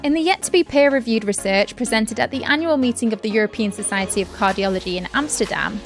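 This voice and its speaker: A woman's voice: on the high side, quick pace, soft background music. A woman presenting scripted news as a podcast host.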